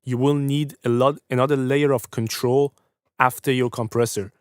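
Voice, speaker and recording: Young man, stream host, casual mixing feedback, swears, slight stutter, mid pitch, dry sound. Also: fairly even intonation, moderate pace, clean tone, dry recording. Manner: laid-back, swears casually; stutters at times. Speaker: music review livestream host.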